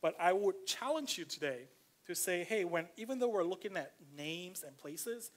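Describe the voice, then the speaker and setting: A pastor with a Malaysian accent delivers a relaxed, conversational sermon in a church, reading scripture aloud. His voice sits somewhat high for a man. He talks with plenty of fillers and slows down when he wants a point to land.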